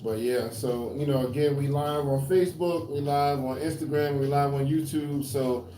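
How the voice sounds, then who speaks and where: A man's voice: medium pitch, fairly even intonation, dark tone, roomy sound, a little hiss or hum. A man in his forties hosting a podcast, talking casually and leaning on fillers as he plugs things. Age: 40s. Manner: laid-back, drawn-out plugs with fillers. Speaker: podcast host. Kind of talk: casual chat.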